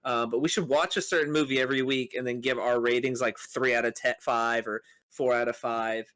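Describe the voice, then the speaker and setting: A man's voice: mid-range voice, steady tone, fairly quick, a bit bright. A man hosting a podcast in a casual, conversational style. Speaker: podcast host. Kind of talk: casual chat.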